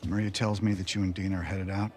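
A man acting a sheepish, gruff older American man in a video game, speaking haltingly and hesitantly. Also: sits low, flat delivery, a little mellow, close to an everyday voice.

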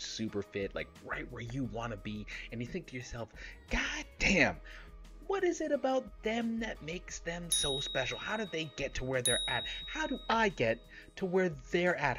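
Lively, blunt man giving fitness advice. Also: medium pitch. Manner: animated, blunt and playful, with casual swearing. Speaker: fitness YouTuber host. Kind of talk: direct-to-camera fitness advice. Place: small indoor home studio room. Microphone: close podcast microphone, seen.

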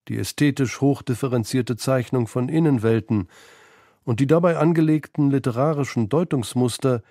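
Guy narrating a literary radio feature, mellow relaxed voice, really dry studio sound. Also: mid-range voice, dry recording. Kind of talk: scripted narration.

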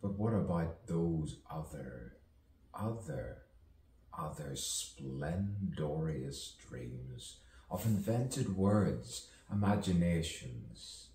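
A man performs a dramatic poetic monologue in a very low voice, unhurried and full of long pauses. His pitch moves widely as he muses and plays with rhyme. The recording is echoey, with the mic some way off. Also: lively intonation, mellow. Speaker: poet performing own monologue. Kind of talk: theatrical poetic soliloquy with wordplay and rhyme. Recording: roomy, distant mic, faint background noise.

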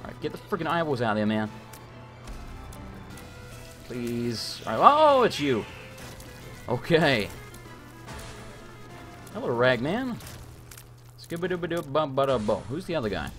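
Hoarse, animated man commentating gameplay. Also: medium pitch, a little slow, pauses often, soft background music. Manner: animated, frantic, swears often. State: hoarse, losing his voice. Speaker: gaming streamer.

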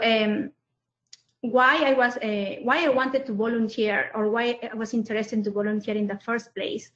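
Hesitant woman from Peru, flat voice. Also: fairly high pitch. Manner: hesitant, many fillers. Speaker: panelist. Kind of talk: self-introduction on a webinar. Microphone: video call. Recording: muffled audio in a roomy space.

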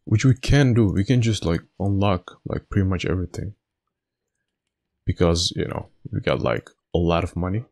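A man with a slightly raspy, stuffed-up voice giving casual gameplay commentary, thinking aloud in a halting way. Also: low voice, darkish tone. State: stuffy nose after being sick. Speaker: gaming video host.

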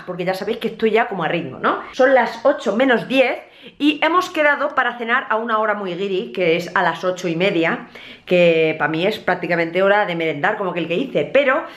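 A young woman chatting casually to her viewers in a home vlog, filmed on a handheld camera at arm's length in a small apartment. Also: mid-range voice. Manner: chatty, expressive, chatty asides to viewers. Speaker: vlogger. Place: small apartment living room, indoors.

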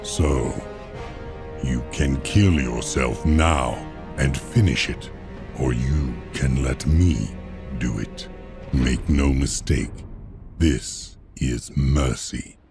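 A man voicing a towering alien warlord, stern and merciless, speaking with measured, solemn threat. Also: a bass voice, gravelly, music in the background, heavily acted. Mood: calm threat.

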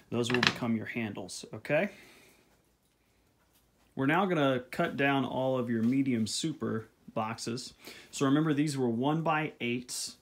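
A man's voice: mid-range voice, slight background noise. Man, home workshop, hands-on tutorial, plain talk, medium voice, keeps saying "okay?". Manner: plain, repeats measurements, ends steps with "okay?". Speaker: beekeeping video host. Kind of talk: step-by-step woodworking instruction. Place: home workshop at a table saw.

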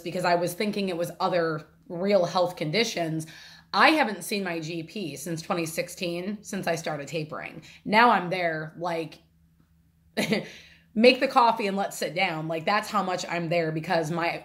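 A woman's voice: on the low side, normal speed. A woman in her thirties talking to her phone camera from a home kitchen, rambling and apologetic with many fillers and restarts, a little congested from a sinus infection. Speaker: vlogger. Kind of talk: casual talking-to-camera vlog. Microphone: phone camera at arm's length.

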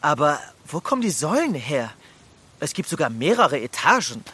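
An actor playing a cheeky, adventurous boy in a children's audio drama, with a husky voice. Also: medium pitch, very animated, normal speed, pushed and bright, full character voice. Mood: puzzled. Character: cheeky tousle-haired boy, adventurous toy figure come to life.

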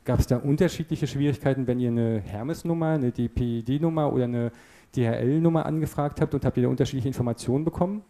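A man in his thirties hosts a live stage event, holding a handheld mic in an indoor hall. His voice is very dark and mellow, and his questions come fast and upbeat. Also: mid-range voice. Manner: upbeat, quick questions to teens. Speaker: event moderator.

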